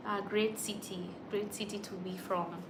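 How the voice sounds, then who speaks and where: A young woman chats casually into her phone on a livestream, replying to viewers' comments as they come in. Her voice sits at a middle pitch, with a faint hum of room noise behind her. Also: little pitch movement, a little slow. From Kenya. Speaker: YouTube vlogger on a livestream.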